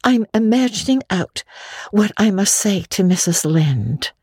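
Storybook young girl: high, husky-edged, earnest, imaginative, stumbling over her words. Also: lively intonation, darkish tone, dry recording, studio quality. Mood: dreamy. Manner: halting, repeats words for emphasis.

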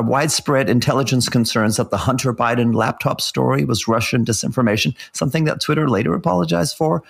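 A man's voice: medium pitch, vocal fry, slightly rough. A middle-aged man from South Africa co-hosting a podcast, giving articulate, wordy commentary with a wry edge. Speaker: podcast co-host. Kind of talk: podcast commentary, part scripted.

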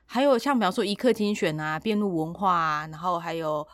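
A woman with a Taiwanese Mandarin accent hosts a casual podcast chat. Her voice sits a bit low and she talks quickly, in an animated, opinionated way. The sound is dry, with hardly any room to it. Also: no echo. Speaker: podcast host.

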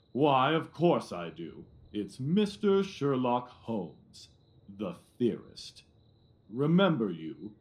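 A man's voice: mid-range voice, wildly swooping pitch, darkish tone, full character voice. A man playing a pompous, self-satisfied middle-aged police detective in an audio drama, blustering and condescending with emphatic repetitions. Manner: blustering, condescending, repeats himself, emphatic pauses.

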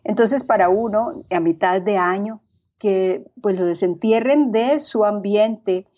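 Mellow woman, dull remote-call sound. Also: medium pitch, takes it slowly. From born in Colombia, grew up there and in Costa Rica. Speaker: podcast guest. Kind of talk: conversational interview storytelling.

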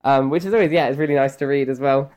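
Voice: medium pitch, fast talker, darkish tone. A voice actor chatting casually in an interview, rambling and hesitant with a giggly edge.

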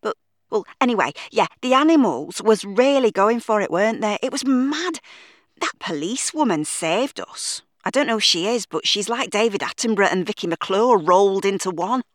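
A woman voicing a chatty, excitable young local woman, rambling in breathless chatter. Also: mid-range voice, moderate pace, no echo, studio quality, pitched above the performer's own voice, natural voice. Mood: excited.

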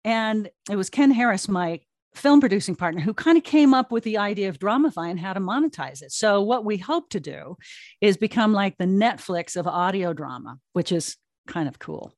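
A woman in her sixties speaking conversationally as an interview guest, telling her story in a chatty, animated way. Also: medium pitch, moderate pace, no room sound, clean studio sound. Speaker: guest, audio drama producer and creative officer.

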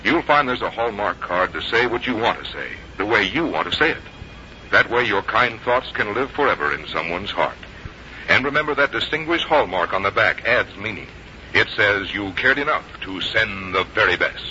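Forceful, low-voiced radio announcer. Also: male voice, lively intonation. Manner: polished, earnest, measured. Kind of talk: scripted 1950s radio sponsor narration. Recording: muffled, hissy 1950s radio recording.

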